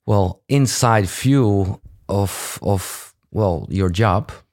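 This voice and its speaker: A man's voice: fairly low pitch, very slow, a little mellow. A Dutch-accented man, a podcast host whose first language is Dutch, asking questions in a casual, hesitant way with many fillers and restarts. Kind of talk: casual interview.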